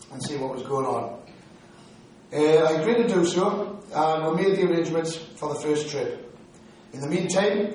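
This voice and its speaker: A man's voice: fairly high pitch, darkish tone, recorded from a distance, slight background noise. A man from Newcastle with a strong Geordie accent, giving a prepared talk on a paranormal case to an audience. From Newcastle upon Tyne, England. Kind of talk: lecture to an audience. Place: lecture hall. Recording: very echoey hall, recorded from afar.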